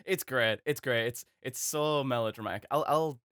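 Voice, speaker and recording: A man's voice: medium pitch, moderate pace, a bit bright, clear, resonant tone, no room sound, studio quality. A young man who voices characters as a hobby, talking in a hesitant, self-deprecating, chatty way. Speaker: hobby voice actor. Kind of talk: casual commentary.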